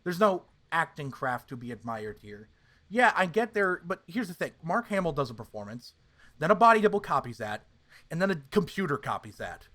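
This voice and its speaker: A man's voice: a little high, big pitch swings, moderate pace, pushed and bright. A man hosting a podcast, giving opinionated casual reviews in an emphatic, sweary way. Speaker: podcast host.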